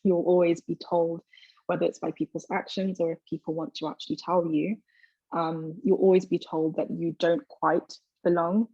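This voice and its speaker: Low, flat-voiced young woman. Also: dry recording. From London, England. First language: Twi. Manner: reflective, measured, with soft fillers. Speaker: guest. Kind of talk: thoughtful interview answers. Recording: slightly muffled video call audio.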